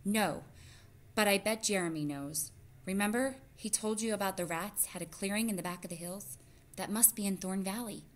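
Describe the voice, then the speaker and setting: A woman's voice: medium pitch, moderate pace, natural voice. A woman voicing an eager, bold young boy mouse in a storybook, speaking insistently. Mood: determined.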